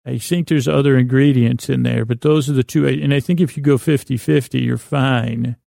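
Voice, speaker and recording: A man hosting a bedtime podcast in a meandering, halting monologue, whimsical and self-deprecating, often trailing off mid-thought. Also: medium pitch, fairly even intonation, gravelly, warm tone, no echo, studio quality. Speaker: podcast host. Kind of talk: rambling bedtime monologue for listeners to fall asleep to.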